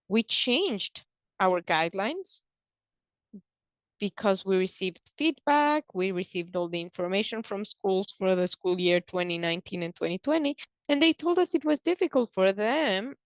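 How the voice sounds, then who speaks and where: A woman presenting and explaining in a measured, halting way, pausing between short phrases. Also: medium pitch, dry recording. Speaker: presenter on a conference call.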